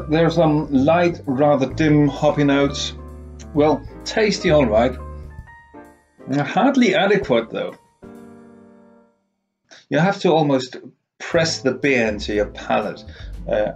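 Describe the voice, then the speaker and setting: A man's voice: mid-range voice, unhurried, long pauses, a little mellow, muffled recording, soft background music. A middle-aged man vlogging in a living room, giving rambling, wordy reviews and sarcastic commentary. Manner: sardonic, wordy. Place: small living room, indoors.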